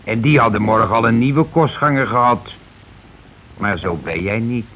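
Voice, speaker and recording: An actor in a Dutch radio play playing a middle-aged, self-important working-class husband who complains and blusters sarcastically. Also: male voice, medium pitch, moderate pace, lightly acted. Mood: needling. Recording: muffled, hissy old radio recording.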